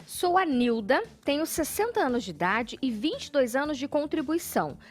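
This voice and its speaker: Woman, Brazilian, TV host, reads viewers' questions, high voice, bouncy intonation. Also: normal speed. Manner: lively, playful asides when reading. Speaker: TV show host. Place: TV studio.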